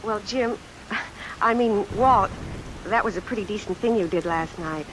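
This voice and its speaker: A woman's voice: mid-range voice, moderate pace, lightly acted, rough recording. Earnest young American woman, acted on rainy old radio. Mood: shy, grateful. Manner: hesitant, polite. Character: earnest, guarded young secretary, politely uneasy. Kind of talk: old-time radio suspense acting. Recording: vintage radio recording, hiss and heavy rain. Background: rain sounds added over the broadcast.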